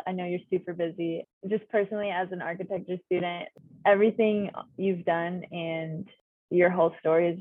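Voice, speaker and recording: Young woman student interviewing someone on zoom, encouraging, kinda low voice, dry thin call sound. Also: no room sound. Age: young adult. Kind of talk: interview over a video call. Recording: dry, tinny call audio.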